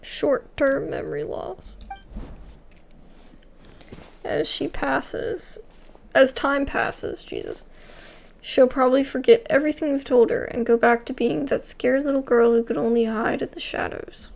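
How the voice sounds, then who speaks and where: Gamer girl woman doing casual commentary, swingy expressive voice, lots of fry, bit of background noise. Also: high voice, unhurried. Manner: expressive, reactive. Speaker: gaming video creator. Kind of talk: casual gameplay commentary, reading dialogue aloud.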